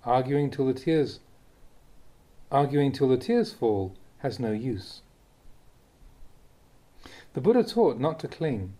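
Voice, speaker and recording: A man reading Buddhist teachings aloud as a scripted narration, in deliberate, short phrases. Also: medium pitch, dark tone. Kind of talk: scripted audiobook reading of a Dhamma talk.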